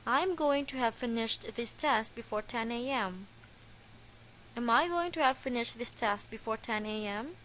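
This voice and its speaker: A woman narrating a scripted English grammar lesson in a slow, careful, deliberate way. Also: on the high side, fairly bright tone, slight background noise.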